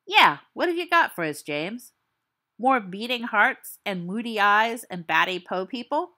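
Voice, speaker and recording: A woman's voice: medium pitch, sing-song, measured pace. A woman voicing a cocky teasing teen wizard boy. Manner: mocking, loud heckling.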